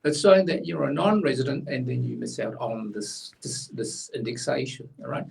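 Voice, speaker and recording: A man's voice: medium pitch, expressive, moderate pace, creaky, darkish tone, recorded from a distance. A man, blunt and opinionated, explaining a tax rule in casual chat as co-host, a little away from the mic.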